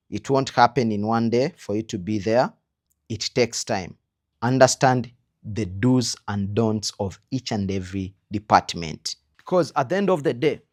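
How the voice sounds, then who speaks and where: A man with a Ugandan accent giving an instructional talk as a trainer, earnestly advising with rhetorical questions and emphatic pauses. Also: medium pitch. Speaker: trainer in creative production quality control.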